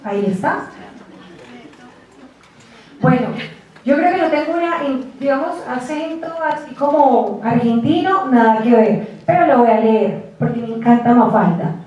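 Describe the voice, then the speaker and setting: A woman giving a university lecture, chatty and lively, often asking the class rhetorical questions. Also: a little high, unhurried, lo-fi sound. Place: lecture room. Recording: echoey hall, distant mic.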